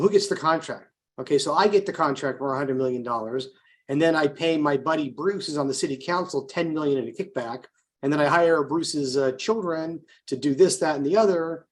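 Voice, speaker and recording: An American man co-hosting a conversational political discussion, telling anecdotes, posing rhetorical questions and acting out bits of dialogue. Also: fairly high pitch. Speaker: co-host, political activist.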